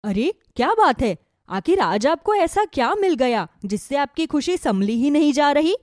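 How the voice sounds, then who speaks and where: Woman playing a lively, gossipy village wife. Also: high voice, moderate pace, no room sound, clean studio sound. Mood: inquisitive. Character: chatty, inquisitive village wife. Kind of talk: Hindi animated moral story dialogue.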